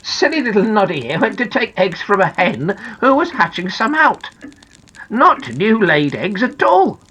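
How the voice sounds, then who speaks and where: A woman's voice: medium pitch, unhurried, husky, pitched above the performer's own voice, exaggerated voice. A woman voicing a cheerful, teasing male gnome, somebody's younger brother, in a children's storybook. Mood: amused. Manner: lively, teasing.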